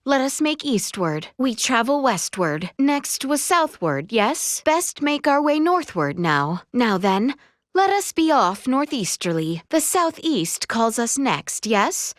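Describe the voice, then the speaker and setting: High-voiced, genteel noblewoman adventurer. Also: sing-song, slow, dry recording, studio quality. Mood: brisk. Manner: formal, archaic, theatrical diction. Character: refined, genteel young noblewoman adventurer, eager and proud. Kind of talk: video game character voice lines.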